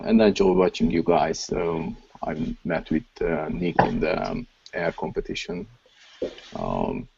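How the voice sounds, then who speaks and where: A man with a Hungarian accent, a guest on an online call, talking hesitantly with many pauses. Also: low voice, vocal fry, darkish tone, husky. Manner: hesitant, many ums, simple phrasing. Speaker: guest listener. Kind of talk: casual chat. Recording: dull lo-fi call audio.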